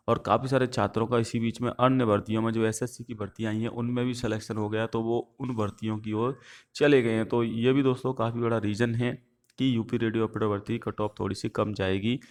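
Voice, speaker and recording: A man giving viewers an informational update as the host of an online video channel. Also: lowish voice. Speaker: YouTube host giving exam news updates.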